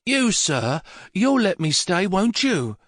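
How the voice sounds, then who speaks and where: A man voicing a frail, furious old mother who is petulant, shrill and quavering, then pleads in a childish way. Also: big pitch swings, takes it slowly, fairly bright tone, dry recording, clean studio sound, full character voice. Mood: pleading. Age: older.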